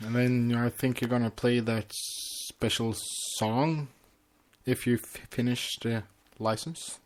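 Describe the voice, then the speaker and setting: A man's voice: medium pitch, steady tone, slow pace, slight background noise. A man chatting casually as a podcast co-host. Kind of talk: casual chat.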